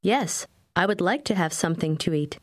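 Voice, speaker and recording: A woman's voice: lowish voice, expressive, normal speed, no room sound, clean studio sound. A woman reading scripted example phrases as the model speaker in a language course.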